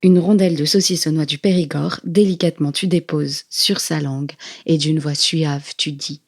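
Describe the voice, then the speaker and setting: A knowing narrator speaks like an inner voice over a tense household. Her pitch is very low for a woman, and the delivery is scripted audio drama narration. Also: steady tone, moderate pace. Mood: savouring.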